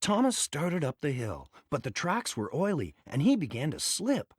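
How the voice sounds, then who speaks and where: A man reading a children's read-along story as a scripted, dramatic and expressive narration. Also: a little high, normal speed, breathy edge, dry recording, studio quality.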